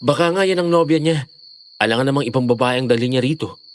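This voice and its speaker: A man's voice: mid-range voice, fast talker, in a large hall, slight background noise, close to an everyday voice. A man playing an easygoing, respectful young boyfriend in an audio drama. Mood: casual, helpful. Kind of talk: Filipino audio horror drama acting.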